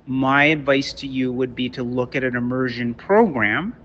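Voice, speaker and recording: A man whose first language is French, from Canada, giving a talk to parents as a teacher, halting with many restarts. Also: medium pitch, fairly bright tone, lo-fi sound, slight background noise. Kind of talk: online lecture to parents. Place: Toronto.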